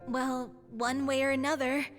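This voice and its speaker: A woman acts a frail but stubborn young female performer in a video game. Her voice is high, gentle and earnest, over light music. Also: flat delivery, normal speed, close to an everyday voice. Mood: relieved, apologetic. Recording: game audio with soft background music.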